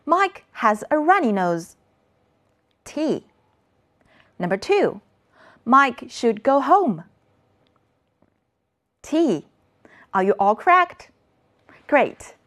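Slow, lively woman teacher. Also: high voice. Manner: slow, lively teacher voice, modeling words to repeat. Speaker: English teacher. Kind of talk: classroom lesson for children.

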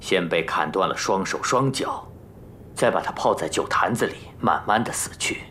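A man acting an old, cautious Taoist strategist and minister in a historical drama, speaking formally and deliberately. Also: low voice, animated, a little hiss or hum. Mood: grave, musing. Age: older. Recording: loud music behind the voice.

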